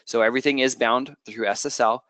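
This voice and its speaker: A Canadian man in his thirties, a product manager walking through a software presentation, explaining at length with frequent fillers, restarts and a checking "okay". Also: medium pitch, steady tone, a little fast, no room sound.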